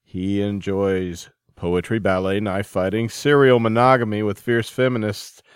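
A man's voice: on the low side, level tone, unhurried, clean tone, no room sound. A man hosting a podcast, giving introductions and putting questions to a guest. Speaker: podcast host and interviewer.

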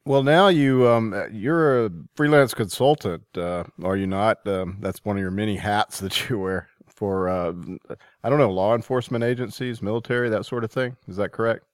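A man's voice: fairly low pitch, moderate pace, clean tone, dry recording, clean studio sound. A man hosting a podcast, giving introductions and putting questions to a guest. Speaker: podcast host and interviewer.

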